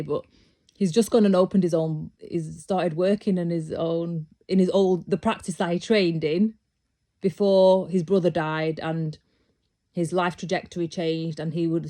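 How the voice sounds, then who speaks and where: Woman, British accent, low-pitched, mellow tone, casual vlog monologue, rambling with restarts. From Britain. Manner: rambling, frequent restarts, grows emphatic and insistent. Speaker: vlogger.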